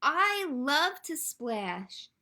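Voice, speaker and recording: A childish little storybook duckling, acted out by a woman, high and bright. The young bird talks slowly in simple, emphatic phrases. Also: very animated, full character voice. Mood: playful.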